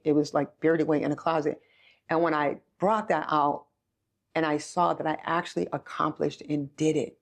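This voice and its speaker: Sixty-year-old woman, even-toned interview guest. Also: low-pitched. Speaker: chief executive, podcast guest. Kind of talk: conversational interview storytelling.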